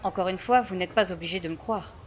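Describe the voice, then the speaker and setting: A woman playing a proud, solemn female chief of a primitive village clan in a fantasy audio drama, speaking in formal, measured phrases. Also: fairly low pitch, slight background noise, close to an everyday voice. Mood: reverent, firm. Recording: voice under clear background music.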